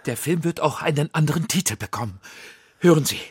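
An actor playing a driven, exasperated middle-aged film director in a German radio drama, with a husky voice. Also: male voice, on the high side, expressive, a little fast, dry recording, clean studio sound. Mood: excited, urgent. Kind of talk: German radio play acting.

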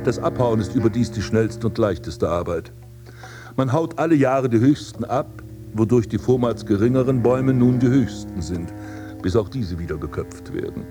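A man's voice: medium pitch, expressive, normal speed, lightly acted. Pompous, haughty aristocrat played by a man, music behind. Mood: solemn, declaiming. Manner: pompous, declamatory, ornate old-fashioned diction. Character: haughty aristocratic landscape gardener prince, vain and scornful. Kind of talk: literary radio drama acting, monologue and dialogue.

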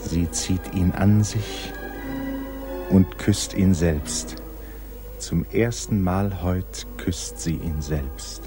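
Deep-voiced literary radio narrator. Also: male voice. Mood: tender. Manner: measured, literary, intimate. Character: male storyteller, measured, intimate observer of the lovers. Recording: 1950s radio production, loud music underneath. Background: music.

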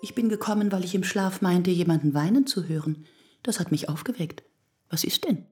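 A middle-aged mother in a radio drama, tender and worried. Her voice is rather low and husky, with a soothing, gentle manner. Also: a little fast, soft background music. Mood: gentle concern. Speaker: actor in a radio play. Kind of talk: German radio drama acting.

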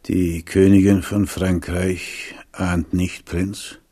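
A stern old-world admiral in a radio play. His deep voice is dark and husky, with a measured, wary weight to each phrase. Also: little pitch movement, no room sound, studio quality. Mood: grave warning. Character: grave, wary Huguenot admiral warning his prince. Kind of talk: German radio drama acting.

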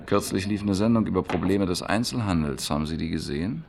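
A man's voice: deep voice, fast talker, vocal fry, a little mellow. A man acting a chatty, down-to-earth repairman in a German radio play, colloquial, jovial and blunt. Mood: jovial, probing. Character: chatty down-to-earth washing-machine repairman, eager billiards player. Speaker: radio drama actor.

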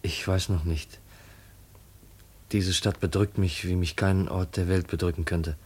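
An actor playing a gloomy but resolute young heir in a 1950s German radio play. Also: male voice, low-pitched, steady tone, measured pace, a little mellow, husky. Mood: brooding, resolute.

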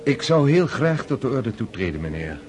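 A man's voice: medium pitch, very animated, normal speed, a little mellow, faint music behind, close to an everyday voice. A man acting in a radio drama as an ingratiating young officer, polite and deferential. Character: smooth, ingratiating young lieutenant courting favour.

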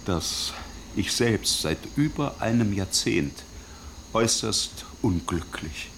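An actor playing a restrained, secretly lovesick colonial man in a radio drama, speaking in a measured, confiding way. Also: on the low side, lively intonation, gravelly, a bit bright, full character voice. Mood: melancholy. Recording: faint background ambience noise.

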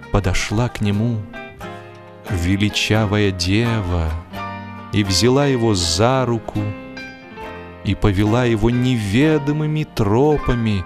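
A man reading literary prose as an audiobook narrator, in a solemn, measured storytelling manner. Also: medium pitch. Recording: music behind the voice. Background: music.

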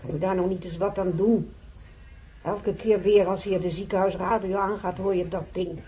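An actress playing a peevish hospital patient in a radio play, grumbling with indignation and sarcasm. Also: female voice, medium pitch, steady tone, normal speed, dark tone, a little hiss or hum, close to an everyday voice. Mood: irritated grumbling. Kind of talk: Dutch radio play acting. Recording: dull radio drama recording.

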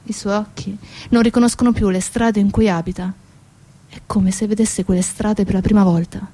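Mellow-voiced woman reciting a monologue. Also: medium pitch, steady tone, normal speed. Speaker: stage actress-narrator. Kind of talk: literary dramatic monologue.

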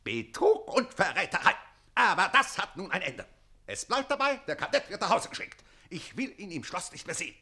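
An actor playing an imperious, jealous, hot-tempered count in a German radio play, commanding and blustering. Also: male voice, high voice, huge pitch swings, moderate pace, pushed and bright, breathy edge, exaggerated voice. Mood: outraged.

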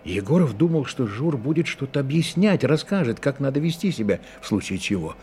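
A man narrating a Soviet radio drama as a sober, measured, reflective storyteller of a detective tale. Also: medium pitch, big pitch swings, a little mellow, close to an everyday voice.

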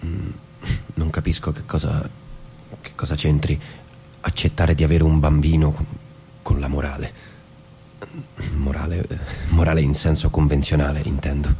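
Over a faint rumble, an actor plays an earnest husband in a naturalistic drama. His voice is deep and mellow, and he reasons in careful, halting phrases as he justifies himself. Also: little pitch movement. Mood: puzzled. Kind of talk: naturalistic stage drama dialogue.

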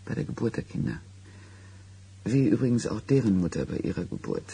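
An actor playing a haunted man in a German radio play, recounting an eerie childhood secret in halting, dramatic phrases, with a husky, gravelly voice. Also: on the low side, wildly swooping pitch, mellow, exaggerated voice. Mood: grave, remembering. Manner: halting, dramatic storytelling with weighted pauses.

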